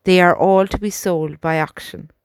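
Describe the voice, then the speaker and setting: A woman reading aloud, voicing a reluctant male army major who delivers bad orders slowly. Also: on the low side, steady tone, vocal fry, smooth, dry recording, clean studio sound. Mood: resigned. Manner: slow, measured, subdued.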